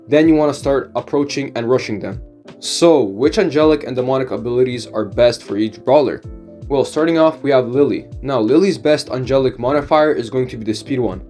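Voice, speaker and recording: A man with a mid-range voice that sounds a little off from a cold. He narrates a game strategy guide in a steady, explanatory way and keeps reaching for "basically" and "now". A soft guitar track plays faintly underneath. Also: medium pitch. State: has a cold, voice slightly off. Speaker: gaming YouTuber. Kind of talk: game strategy tutorial voice-over.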